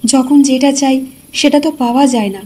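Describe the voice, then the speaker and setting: A woman's voice: sits high, fairly quick, warm tone, slightly rough. A woman playing the careworn, fretful wife of a poor labourer in a cartoon story. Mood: fretful disapproval. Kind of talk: Bengali cartoon story voice acting.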